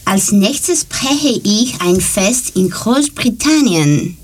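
A woman from Greece co-presenting a scripted segment in slow, deliberate learner German. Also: medium pitch, lively intonation, slight background noise.

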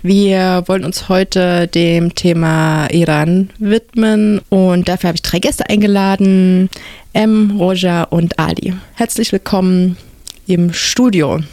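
A radio interview host with a mid-pitched voice, recorded in a dry studio. The delivery is that of someone welcoming guests and putting questions to them. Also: steady tone, takes it slowly, darkish tone, no echo.

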